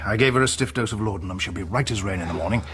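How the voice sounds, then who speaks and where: Actor as a level-headed English doctor, faint noise. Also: male voice, on the low side, racing, fairly bright tone, close to an everyday voice. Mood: calm, reassuring. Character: level-headed English doctor, sensible, grave, plain-spoken. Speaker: actor in a radio adventure drama. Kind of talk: period radio drama acting.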